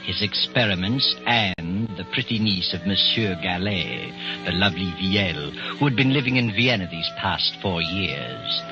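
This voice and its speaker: Low, ominous mystery storyteller. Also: male voice, expressive, unhurried. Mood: ominous, mysterious. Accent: American. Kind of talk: 1940s radio mystery narration. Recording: muffled 1940s radio broadcast with music.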